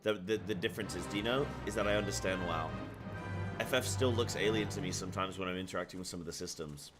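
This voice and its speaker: A man streaming from his apartment, chatting casually, thinking aloud and reading chat aloud. He has a deep, carrying voice and talks loudly by habit. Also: quick pace, over music. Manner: loud by habit. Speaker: live streamer. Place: apartment room at home.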